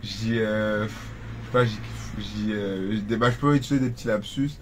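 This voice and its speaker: A man's voice: lowish voice, normal speed, a little hiss or hum. A man in his twenties from Cameroon, a rapper talking about his start in music in a casual interview, rambling with many restarts and fillers. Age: 20s. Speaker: rapper guest.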